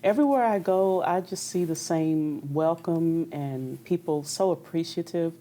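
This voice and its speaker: A woman's voice: fairly low pitch, unhurried, warm tone. A young woman opera singer from Kentucky, talking candidly and easily about her career in an interview. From Kentucky, USA. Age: young adult. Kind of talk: conversational interview.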